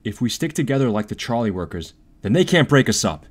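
A man's voice: medium pitch, big pitch swings, fast. A man acting an earnest, shy young male newsboy who organizes the strike with reasoned talk.

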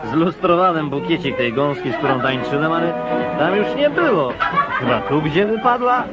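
Radio play, smug mannered bachelor, highish lively voice, loud music behind. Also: male voice, moderate pace, highs cut off, a loud crowd. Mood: smug, musing. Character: self-satisfied, picky bachelor flirt at a ball. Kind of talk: theatrical radio play acting.

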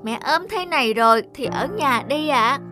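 A woman voicing a small, caring young monkey in an animated cartoon, earnest, eager and polite. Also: high-pitched, moderate pace, over music. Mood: earnest, pleading.

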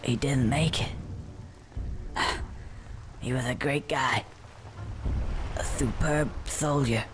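A man's voice: medium pitch, slow pace, noisy background, close to an everyday voice. A man voicing a weary, sardonic male squirrel hero in a video game. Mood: somber. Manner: dry, sardonic, tired. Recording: game audio over loud music, rain.

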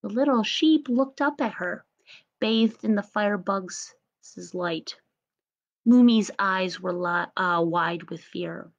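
A woman's voice: mid-range voice, takes it slowly. A woman in her thirties narrating a scripted storybook tale as storyteller, recorded on a webcam in a room at home. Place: small home room indoors. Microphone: webcam or laptop at arm's length. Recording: slightly dull webcam audio.

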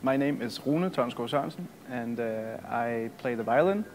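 A Danish man in his thirties, a violinist, answering interview questions in a relaxed, good-humoured way. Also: medium pitch, moderate pace, a little hiss or hum. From Denmark. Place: museum gallery.

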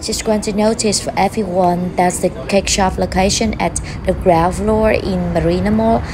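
A woman's voice: medium pitch, fairly even intonation, noisy background. A woman food vlogger with a Vietnamese accent, speaking English as a second language in a voice-over review with simple, friendly phrasing. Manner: simple non-native phrasing, slow and friendly. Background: background music.

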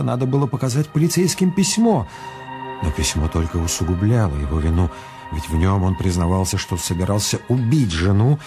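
A man's voice: mid-range voice, warm tone, natural voice. A man narrating a radio crime thriller as a grave storyteller. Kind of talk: dramatic radio play narration. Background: music under the voice.

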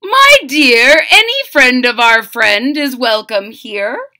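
A cheerful, welcoming storybook king acted out by a woman reading aloud. The voice is loud and bright, sitting high and leaping about in pitch. Each welcome comes out as a stately exclamation. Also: expressive, slow, full character voice. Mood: gracious welcome. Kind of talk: children's storybook read-aloud.

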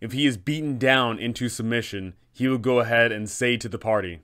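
A man in his thirties hosting a tabletop game guide, telling the story in an emphatic, deliberate way. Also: mid-range voice, fairly bright tone, clean tone. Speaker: host and game master giving advice.

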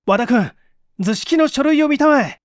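A man's voice: extremely high pitch, animated, normal speed, no room sound, studio quality, voice pushed up. A man playing a bold, earnest researcher who speaks urgently and persuasively in an audiobook mystery. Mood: commanding. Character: earnest, bold young male researcher-detective.

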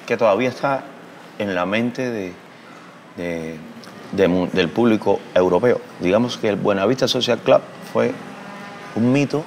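A Cuban man in his thirties talks in a low, gravelly voice with a Cuban Spanish accent. He speaks thoughtfully, pausing with fillers and restarting phrases. A faint hum sits under the recording in a bright indoor room. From Cuba. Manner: reflective, hesitant with fillers and restarts, expressive hand gestures. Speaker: musician. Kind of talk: interview answers.

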